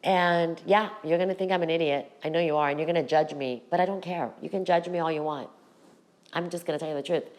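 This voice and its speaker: A middle-aged woman with a raspy voice, hosting her own podcast and talking frankly to her viewers about her past. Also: fairly low pitch, steady tone, quick pace, a little mellow. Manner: candid, direct address to viewers. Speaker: podcast host. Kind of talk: personal storytelling to camera.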